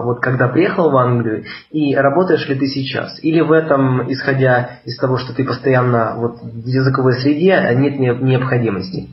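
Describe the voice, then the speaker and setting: A man asking long, rambling interview questions in a casual conversation, full of fillers. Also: mid-range voice. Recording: echoey, slightly dull room sound.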